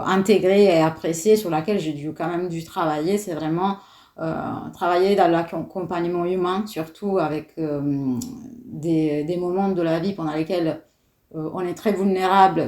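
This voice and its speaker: Woman, 30s, Italian accent, low voice, hesitant with fillers, echoey room. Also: a little mellow. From Italy. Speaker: guest, doula. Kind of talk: casual interview talk.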